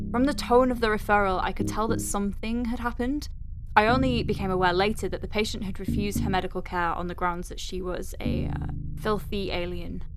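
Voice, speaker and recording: A woman's voice: medium pitch, natural voice. A woman playing an exasperated, wry doctor at a space clinic in an audio drama, with a sardonic, conversational delivery. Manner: sardonic, conversational, hesitant asides. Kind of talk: audio drama monologue, dictating a letter. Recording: music behind the voice. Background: music.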